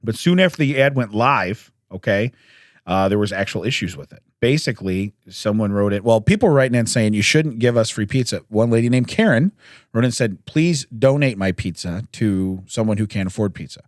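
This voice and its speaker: A man, a podcast co-host, telling odd news stories in a casual, jokey way with chuckles and throat-clearing. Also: fairly low pitch, expressive, a little fast, no room sound, studio quality.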